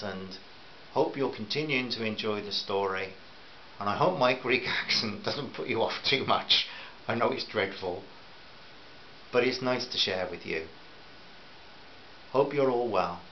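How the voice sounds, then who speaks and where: A man's voice: fairly low pitch, highs cut off, slight background noise. Man reading his novel aloud. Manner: plain storytelling, warm sign-off to listeners. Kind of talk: serialized audiobook reading.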